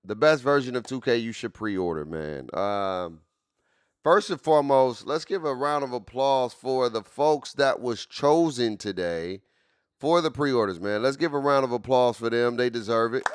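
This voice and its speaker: A man's voice: mid-range voice, lively intonation, normal speed, smooth, no echo, clean studio sound. A man in his late forties streaming casually, repeating himself with hype and constantly talking to his chat. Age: 40s. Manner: repetitive, hyped, keeps addressing chat.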